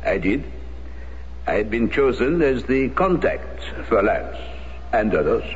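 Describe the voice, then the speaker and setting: An actor playing an older priest in a radio drama, speaking in a measured, formal, hesitant way. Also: male voice, mid-range voice, sing-song. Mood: patient, grave. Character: guarded French parish priest, former Resistance leader. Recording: dull, noisy vintage radio recording.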